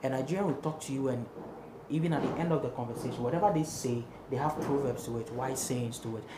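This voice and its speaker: A young Ghanaian man talks with emphatic energy and keeps repeating himself to drive points home. His voice sits at an ordinary pitch and bounces around a roomy, echoing space. A clear hum of background noise runs under him. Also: fast talker. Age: young adult. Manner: animated, repetitive, emphatic. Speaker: singer and guest. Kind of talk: interview conversation. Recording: echoey room with noticeable background noise.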